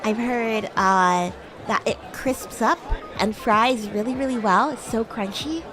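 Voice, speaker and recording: A woman livestreaming in character as a bratty, playful young demon classmate, chatting in a giggly way with drawn-out, hesitant words. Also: fairly high pitch, music in the background, crowd noise, low-quality recording. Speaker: VTuber streamer.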